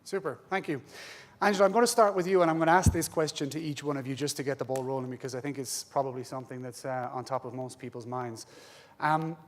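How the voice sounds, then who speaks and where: A man's voice: medium pitch, steady tone. An Irish man from Ireland moderating a conference panel on stage, joking with the room and talking with frequent "uh" fillers. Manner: jokey, warm-up banter with pauses and "uh" fillers.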